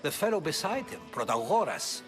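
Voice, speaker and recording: A man's voice: a little high, sing-song, brisk, over music. An actor playing a genial, learned older Greek man, wry and eloquent, in a video game cutscene. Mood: amused.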